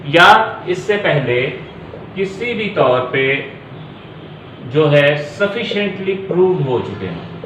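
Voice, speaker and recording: A man with a fairly high-set voice delivers an explanatory lecture. He works through each point step by step, often repeating phrases. The recording has strong room echo and a faint layer of noise behind him. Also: fairly bright tone, muffled recording. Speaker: law lecturer. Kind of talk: explanatory lecture mixing Urdu and English.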